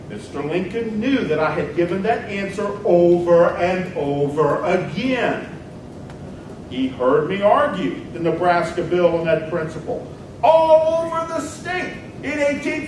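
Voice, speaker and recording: A man performing a dramatic reading as a combative nineteenth-century senator, declaiming a formal, emphatic political debate speech. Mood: emphatic, indignant. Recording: very echoey hall, distant mic, rumbling noise.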